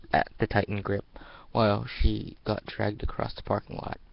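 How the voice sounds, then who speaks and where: A man narrates a story in a low voice full of heavy fry. His amateur dramatic reading is halting and deliberate, very slowly paced, on a slightly dull lo-fi mic. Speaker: amateur reader.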